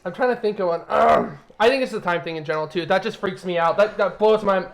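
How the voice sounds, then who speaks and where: Young man in his twenties, high voice, talks fast, casual chat. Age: 20s. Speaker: video editor.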